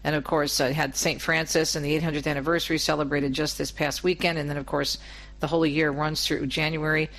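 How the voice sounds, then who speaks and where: A man's voice: on the high side, little pitch movement, fairly quick, a bit bright. A man hosting a radio talk show, speaking enthusiastically and conversationally with his guest. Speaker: radio host. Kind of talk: radio interview.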